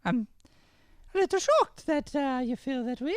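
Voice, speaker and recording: A man's voice: very high-pitched, expressive, normal speed, dry recording, studio quality. A man voicing a fussy, secretive young woman with an Irish accent in an improvised radio-style comedy. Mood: dry, probing. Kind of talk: improvised old-time radio comedy acting.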